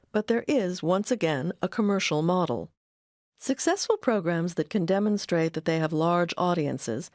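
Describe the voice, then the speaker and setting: In a dry studio sound, a woman narrates a documentary from a script. Her voice sits on the low side, and her delivery is measured and articulate. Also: darkish tone, no echo.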